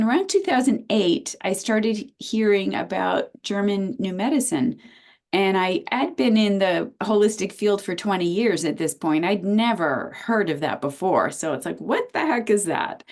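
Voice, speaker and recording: American lady in her 60s, naturopath guest, chatty storyteller on a video call. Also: medium pitch. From United States. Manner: chatty, animated storytelling with frequent restarts. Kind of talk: casual interview over video call.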